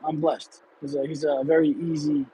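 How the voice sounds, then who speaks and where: A man in his late thirties hosts an off-the-cuff livestream from behind the wheel, answering viewers candidly and rambling through restarts. His voice sits a little high for a man. A faint hum of the car in traffic runs underneath. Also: flat delivery, moderate pace, mellow, poor audio. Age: 30s. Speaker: livestream host. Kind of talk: casual livestream chat.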